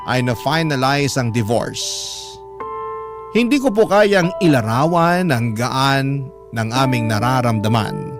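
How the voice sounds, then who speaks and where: A Filipino man narrates a scripted personal letter in a mid-range voice. His delivery is measured, dramatic and heartfelt, with clear music playing behind him. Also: mid-range voice. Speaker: radio storyteller reading a listener's letter. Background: music bed.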